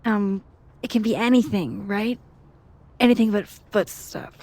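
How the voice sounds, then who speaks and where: A woman's voice: medium pitch, unhurried, dark tone. An actress playing a dry-witted, introspective young woman in a naturalistic video game, speaking in an understated, wry, hesitant way. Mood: playful, coaxing.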